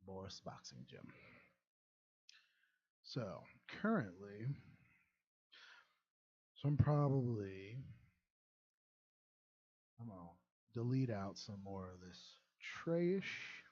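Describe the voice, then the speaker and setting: Low-voiced, rambling man streaming his work. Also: very animated, very slow, pauses often, mellow, husky, muffled recording. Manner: rambling, chuckling, self-deprecating. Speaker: game modder and streamer. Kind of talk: casual livestream chat.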